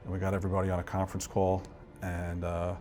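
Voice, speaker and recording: A man as an interview guest recounting a personal ordeal in a halting, restrained way. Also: deep voice, monotone, darkish tone, faint music behind.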